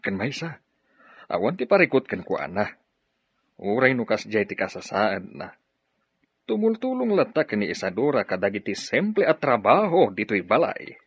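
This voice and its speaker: Low, husky, fatherly Filipino man. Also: sing-song, normal speed, dry recording, heavily acted. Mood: earnest, caring. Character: kindly middle-aged bachelor, fatherly older brother. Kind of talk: Ilocano radio drama acting. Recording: dry, dull lo-fi radio drama audio.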